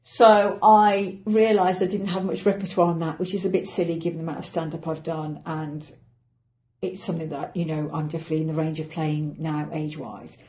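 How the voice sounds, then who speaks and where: A middle-aged woman with an English accent, chatting through her week on her own podcast. Her voice sits low and mellow, and she talks in a chatty, self-deprecating way, thinking aloud. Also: flat delivery, fairly quick. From England. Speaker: actress and podcast host. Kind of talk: casual solo podcast update.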